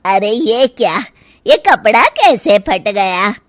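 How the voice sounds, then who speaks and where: Woman acting a slow, fussy mother-in-law. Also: medium pitch, expressive, no echo, clean studio sound. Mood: alarmed. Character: older mother-in-law, fussy and easily alarmed. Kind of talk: animated Hindi moral story acting.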